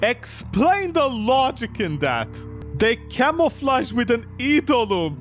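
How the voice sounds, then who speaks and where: Wildly animated game streamer, exasperated and theatrical. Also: medium pitch, takes it slowly. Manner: exasperated, incredulous, theatrical reactions. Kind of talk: gaming commentary, reading visual novel text aloud and reacting. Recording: background music under the voice. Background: music.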